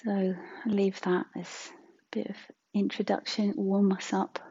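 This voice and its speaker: Slow, hushed woman guiding meditation. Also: mid-range voice, flat delivery, husky, lo-fi sound. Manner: gentle, unhurried, with long pauses. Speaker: meditation teacher. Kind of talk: guided meditation talk.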